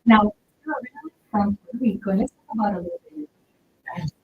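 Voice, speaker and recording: A woman's voice: medium pitch, expressive, fast talker, background noise. A woman hosting an interview, asking long, trailing questions over a tinny phone-like line. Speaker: show host. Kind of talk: casual interview chat.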